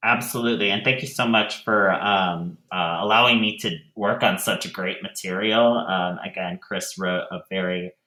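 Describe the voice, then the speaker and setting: A man answering interview questions as a theater director, speaking casually in a thoughtful, halting way. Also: low-pitched, steady tone, sharp tone. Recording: roomy, echoey sound.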